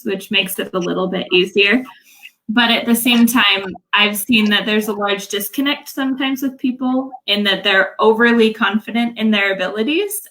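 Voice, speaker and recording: A young woman from Utah talks through her work as a language coach in a relaxed livestream interview. Her voice sits a little high and rings bright, and she explains things earnestly, often starting with "so" and "um". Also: poor audio. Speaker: English language coach, guest.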